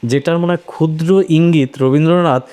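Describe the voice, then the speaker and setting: A man's voice: fairly high pitch, steady tone, fairly quick, dark tone, clean tone. A man giving his opinion as a panelist in a literary discussion.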